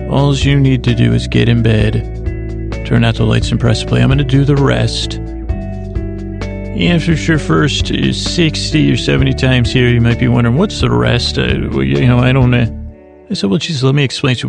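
Man with a gravelly, rambling voice. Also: medium pitch. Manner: meandering, self-deprecating, slow with long pauses. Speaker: podcast host. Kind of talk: rambling bedtime podcast intro.

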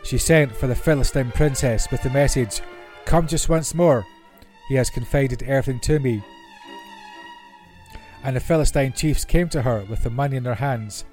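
A man's voice: mid-range voice, normal speed, darkish tone. Man reading scripture over classical music. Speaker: Bible reader. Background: classical orchestral music.

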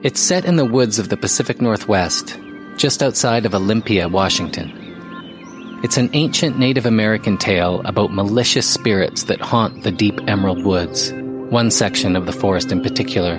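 An actor playing an investigative podcast host, narrating a mystery audio drama in a measured way with deliberate pauses. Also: male voice, fairly low pitch, lightly acted. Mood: ominous, measured. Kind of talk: scripted audio drama narration, documentary style. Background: music.

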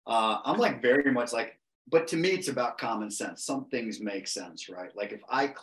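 A man's voice: mid-range voice, animated, moderate pace, a bit bright. A man in his forties, a podcast guest, talking in a passionate, emphatic way and swearing for emphasis. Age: 40s. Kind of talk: casual interview talk.

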